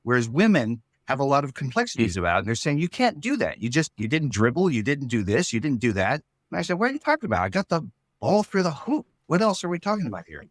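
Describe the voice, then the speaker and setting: A man speaking as a writer in a casual interview, explaining his ideas in a thoughtful, halting way. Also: mid-range voice, sing-song, dry recording, clean studio sound. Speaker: writer and storyteller.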